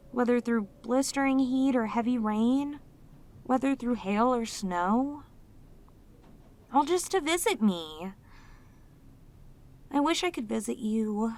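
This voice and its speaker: A woman voicing a lonely, sweet, possessive demoness in an intimate roleplay audio drama, speaking tenderly and hesitantly to the listener. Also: on the high side. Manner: tender, hesitant, slightly stammering, clingy, emotive. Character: lonely, sweet, possessive female demon, sealed away, obsessively devoted.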